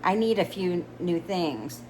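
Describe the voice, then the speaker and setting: A woman's voice: lowish voice, animated, a little slow, darkish tone, a little hiss or hum, low-quality recording. A woman reading the lines of a spoiled young adult daughter who whines and pleads. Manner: whining, pleading.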